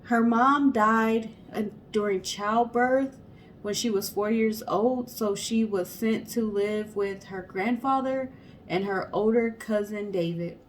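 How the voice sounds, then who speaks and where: A woman's voice: medium pitch, fairly even intonation, slow pace, a little hiss or hum. A woman co-hosting a storytelling show, reading a story in a casual way and addressing the audience.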